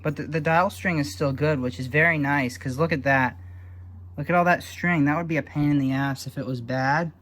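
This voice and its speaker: A man's voice: fairly high pitch, fairly even intonation, moderate pace, a bit bright. A man giving casual, unscripted show-and-tell commentary in a dry, wry manner, like a hobbyist vlogger. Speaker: vlogger showing old radio repairs.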